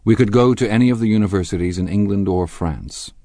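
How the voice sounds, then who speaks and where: A man voicing a proud, lovestruck gentleman in an audiobook, polite yet defiant. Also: fairly low pitch, dry recording, clean studio sound, close to an everyday voice. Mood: polite, guarded. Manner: polite, measured, turning intense. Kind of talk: audiobook character dialogue.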